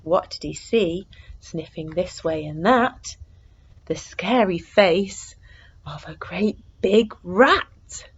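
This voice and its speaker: Woman, children's storyteller, lowish pitch, very unhurried, expressive and suspenseful delivery, slightly muffled lo-fi recording. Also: husky. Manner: expressive, with hushed suspenseful whispers and excited exclamations. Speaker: storyteller reading a picture book to children. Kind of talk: animated read-aloud for young children.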